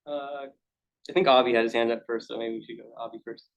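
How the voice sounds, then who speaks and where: A man's voice: mid-range voice, steady tone, quick pace. A man chairing a conference session, politely steering questions and introducing the next speaker. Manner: polite, procedural.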